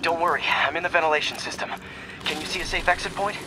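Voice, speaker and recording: A man's voice: fairly high pitch, quick pace, background noise, close to an everyday voice. An actor playing an earnest, protective young male superhero in a dramatic video game. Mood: focused.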